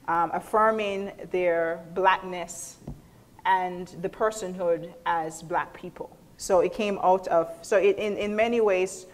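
A middle-aged woman lecturer giving a thoughtful spoken explanation to an audience, often pausing mid-phrase as she picks her words. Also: fairly low pitch. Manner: thoughtful, halting, frequent pauses mid-phrase. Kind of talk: explanatory answer to an audience question. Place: indoor hall, speaking to an audience. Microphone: clip-on lapel mic.

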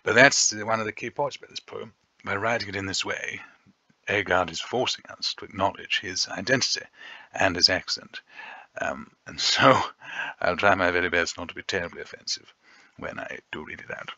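Bright-toned male teacher. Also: deep voice, no room sound, lo-fi sound. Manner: chatty, self-deprecating, often pausing to think. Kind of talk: recorded revision lesson over slides. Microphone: voice-over over slides.

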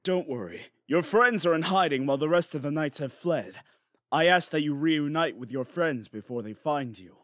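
A man playing an excitable, hot-tempered young prince in a comedic parody dub, devoted and theatrical. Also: a little high, normal speed, dry recording, studio quality, lightly acted. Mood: casual. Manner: theatrical, foul-mouthed outbursts, earnest pleading.

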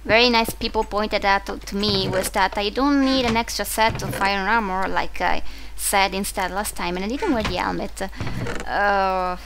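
A woman's voice: mid-range voice, normal speed, noisy background. A young woman with an Italian accent, Italian her first language, chatting casually and rambling as she plays a video game. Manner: chatty, rambling, frequent restarts and fillers. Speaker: gaming video host. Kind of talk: casual commentary while playing.

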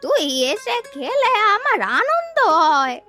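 A woman's voice: very high voice, very animated, slow, bright, forceful tone, faint music behind, exaggerated voice. A woman voicing a kind, stubborn old village grandmother in a children's cartoon, with an affectionate, emotive manner. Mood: tender, caring.

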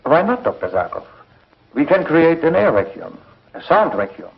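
An actor playing a thoughtful middle-aged scientist who lectures deliberately in a 1950s television space serial. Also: male voice, a little high, very animated, gravelly. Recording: muffled 1950s film soundtrack.

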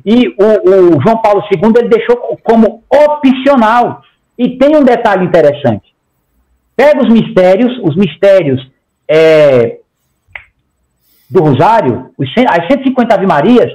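A man's voice: sits high, darkish tone, dull audio. A man of about forty, a guest on a video-call interview, talking emphatically in long runs. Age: 40s. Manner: emphatic, gestures a lot, talks in long runs with repeated "tá?". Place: home room. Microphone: headset on a video call.

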